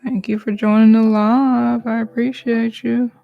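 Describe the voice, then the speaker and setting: A woman's voice: fairly high pitch, unhurried, gravelly, lo-fi sound. A woman chatting casually to viewers during a live drawing stream, easygoing and sometimes dropping to a whisper. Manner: relaxed, chatty, talks to viewers while working, drops to whispers.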